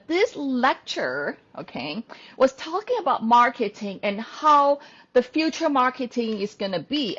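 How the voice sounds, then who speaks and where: A woman's voice: a little high, a bit bright, lo-fi sound. A woman hosting a casual livestream, rambling along with plenty of fillers and restarts. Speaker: livestream host. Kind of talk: casual live-stream chat.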